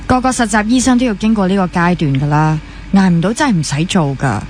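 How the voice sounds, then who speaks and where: A weary, ambitious young female junior doctor played by an actress in a radio drama. Her Hong Kong Cantonese is natural and matter-of-fact, fairly low for a woman, with some teasing and slight background noise. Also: fast talker, a little mellow, lightly acted. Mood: weary determined. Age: young adult.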